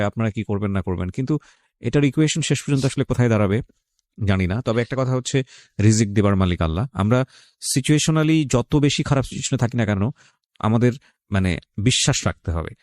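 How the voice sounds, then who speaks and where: A man's voice: medium pitch, fairly quick, darkish tone, dry recording, clean studio sound. A male radio host with a Bangladeshi accent gives unscripted moral commentary in an emphatic, lecturing way, mixing in English phrases.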